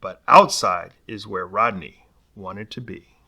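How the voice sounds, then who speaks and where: Man, children's story reader, slow pace, bright forceful voice, dramatic word emphasis. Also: lowish voice, gravelly, slightly rough. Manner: expressive, dramatic emphasis on key words, pausing to point out pictures. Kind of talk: children's picture-book read-aloud.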